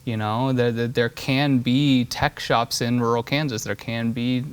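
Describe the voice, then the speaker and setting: An earnest man in his thirties, from Switzerland, talks through his political vision in a political interview. His voice sits at an ordinary middle pitch, and he restarts and repeats words as he thinks aloud. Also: fairly even intonation, measured pace, clear, resonant tone. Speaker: state representative guest.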